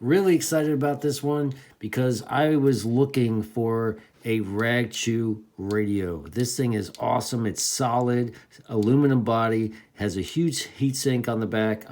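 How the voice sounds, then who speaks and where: A man's voice: mid-range voice, warm tone. A man giving a casual, enthusiastic hands-on product review in informal hobbyist slang.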